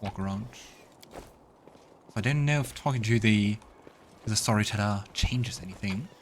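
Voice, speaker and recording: A man's voice: lowish voice, measured pace, slight background noise. A man hosting a let's play, mixing casual game commentary with narration read aloud. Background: game music.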